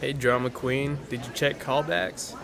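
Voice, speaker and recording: An actor playing a smug, sarcastic, taunting teenage hipster in an audio drama, heard from a little way off in an echoey hall. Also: male voice, mid-range voice, unhurried, gravelly, a crowd in the background. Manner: smirking, sarcastic. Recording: very echoey hall, somewhat distant.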